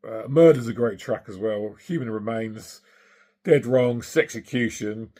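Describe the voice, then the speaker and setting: A middle-aged man with a hoarse, husky voice from singing, chatting casually and enthusiastically about records he has collected, with plenty of ums and uhs. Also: fairly low pitch, unhurried, mellow. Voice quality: gravelly, husky. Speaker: vinyl collector vlogger.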